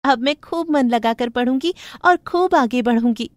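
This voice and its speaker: A woman voicing a cheerful, grateful young village woman in an animated moral story, with a friendly, earnest manner. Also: high voice, normal speed, dry recording, studio quality, pitched above the performer's own voice, close to an everyday voice.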